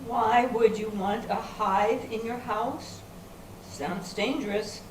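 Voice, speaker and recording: A well-meaning, puzzled woman, acted by a stage reader, talks slowly and innocently. Her voice comes from some distance, with clear noise around it. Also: fairly high pitch, fairly even intonation. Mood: puzzled, pleasant. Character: helpful, muddled, literal-minded woman who mishears. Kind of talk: amateur readers theater. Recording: noisy echoey room from far off.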